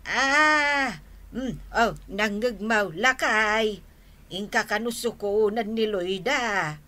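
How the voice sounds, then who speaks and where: A woman playing a fussy, flustered elderly village wife in a radio drama, hesitant and full of drawn-out exclamations. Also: mid-range voice, pushed and bright. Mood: amused, fussy. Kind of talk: radio drama acting in rural family comedy.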